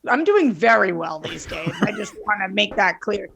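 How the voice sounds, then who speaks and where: A woman's voice: mid-range voice, very animated, moderate pace, creaky, fairly bright tone. A woman chatting casually as a podcast co-host in a playful, teasing manner. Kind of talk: casual chat.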